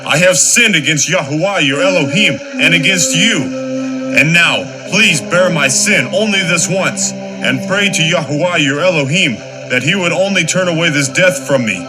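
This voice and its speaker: A man playing a proud, hot-tempered Egyptian king in a scripture dramatization, forceful and imperious. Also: a little high, expressive, moderate pace, over music, close to an everyday voice, low-quality recording. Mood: urgent, pleading.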